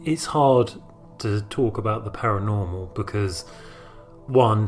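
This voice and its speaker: A man's voice: on the low side, darkish tone. A man speaking as a writer and storyteller, telling a personal account in an informal, unscripted style.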